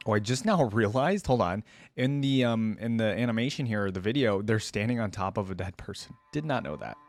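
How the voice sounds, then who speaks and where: A man's voice: on the low side, expressive, a little fast, no echo, soft background music. Casual guy reacting, dry close sound. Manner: informal, thinking out loud. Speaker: reaction video host.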